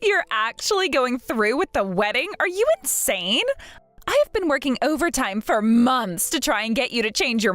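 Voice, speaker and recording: A woman in her late twenties voicing a spiteful, bratty younger sister with a high, sneering, mocking voice. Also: fairly bright tone, no echo. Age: late 20s.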